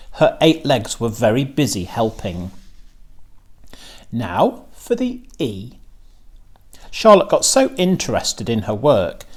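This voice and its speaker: A man narrates a children's story at a slow, measured pace. His pitch moves widely, giving the scripted reading an expressive, animated shape. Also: husky. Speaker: storybook reader, teacher.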